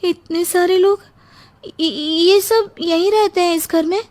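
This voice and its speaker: A woman's voice: very high-pitched, steady tone, slow, a little mellow. A woman voicing a young, carefree bride who exclaims dramatically and is easily overwhelmed, in a Hindi cartoon story. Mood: shocked. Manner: exclaiming, melodramatic.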